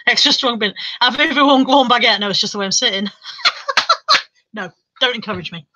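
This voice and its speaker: A woman's voice: on the high side, a little fast, sharp tone, dry recording, lo-fi sound. A British woman chatting casually on a live stream, reading out viewer comments and rambling in reply.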